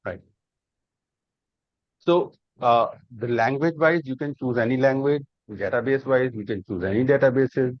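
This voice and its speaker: Man, tech trainer, repeats key points, rhetorical questions, medium pitch, muffled close recording. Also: moderate pace, no room sound. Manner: explains patiently with many repeats and rhetorical questions. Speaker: cloud computing trainer. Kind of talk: live online lecture. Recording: dull lo-fi dry online class audio.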